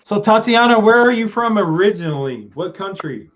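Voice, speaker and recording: A man's voice: sits high, animated, moderate pace, a bit bright. A man hosting a podcast interview over a video call, asking plain questions and adding short affirmations. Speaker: podcast host. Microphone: video call webcam. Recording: dull lo-fi call audio, faint hiss.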